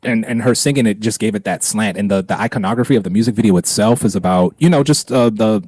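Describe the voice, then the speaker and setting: A man talking reflectively and casually as an interview guest, expressive and emphatic, circling around his phrases. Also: on the low side, quick pace, no echo. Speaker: interview guest, performing artist.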